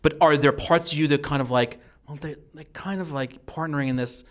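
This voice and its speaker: A man's voice: on the high side, brisk, a bit bright. A man working as an interviewer, speaking conversationally and building long, wandering questions. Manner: wordy, meandering questions.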